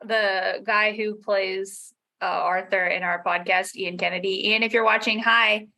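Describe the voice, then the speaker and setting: A woman with a bright, forward voice at a middle pitch chats casually on a panel. Her talk is playful and informal, that of a podcast creator among peers. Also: fairly even intonation, unhurried, vocal fry. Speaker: audio drama podcast creator.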